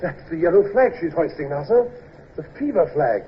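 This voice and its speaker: A man's voice: on the high side, animated, normal speed, lightly acted. A man playing an alert junior naval officer in an old-time radio drama, reporting formally to his superiors. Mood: concerned. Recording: muffled old radio recording, faint noise.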